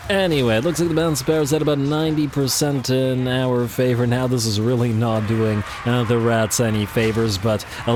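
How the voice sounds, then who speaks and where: Slightly raspy man, low-pitched game commentary. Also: background noise. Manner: relaxed, chatty, frequent fillers and repeated words. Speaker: let's play commentator. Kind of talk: casual gameplay commentary.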